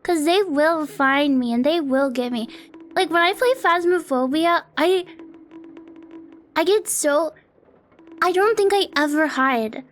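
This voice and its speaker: High-voiced, unhurried young woman streamer. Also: expressive, faint music behind. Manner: rambling, self-deprecating, swears casually. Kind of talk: casual stream chat. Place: home apartment streaming setup. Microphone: close desk mic on an arm.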